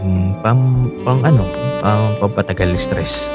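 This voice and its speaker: A man's voice: lowish voice, slow, over loud music. A man playing a tired, needy young husband craving his wife's attention in a Filipino radio drama. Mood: playful, coaxing.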